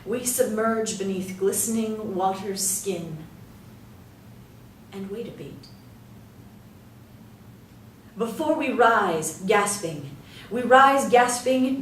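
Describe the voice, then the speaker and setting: A woman reciting poetry dramatically, in a measured, theatrical way with deliberate pauses. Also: a little high. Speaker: poetry reader. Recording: roomy echo, distant mic, light noise.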